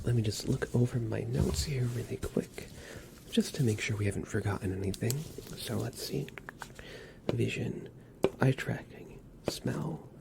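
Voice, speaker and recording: A man whispering softly and soothingly as a reassuring doctor in an ASMR exam roleplay. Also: medium pitch. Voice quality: whispered, breathy soft voice. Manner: gentle, soothing, reassuring instructions. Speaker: ASMR performer playing a doctor.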